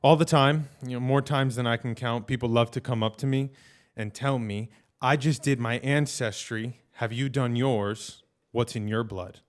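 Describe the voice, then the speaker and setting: A man's voice: medium pitch, steady tone, normal speed. A man storyteller telling a comic personal story live, acting out the people he quotes.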